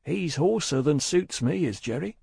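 Gruff, bellowing old-time coach guard. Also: male voice, on the high side, expressive, normal speed, no room sound, studio quality, voice pushed up, exaggerated voice. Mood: grumbling. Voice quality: gruff, loud, roaring when calling out. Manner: rustic dialect, challenging, threatening. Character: gruff, suspicious, armed mail-coach guard, male.

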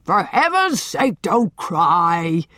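A woman reading an audiobook and voicing a big, fierce, bullying middle-aged man who booms and barks out questions. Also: sing-song, drawn-out words, no room sound, studio quality, exaggerated voice. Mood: exasperated, shouting. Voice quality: loud, booming. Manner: blustering, demanding, barking questions. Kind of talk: audiobook character voice.

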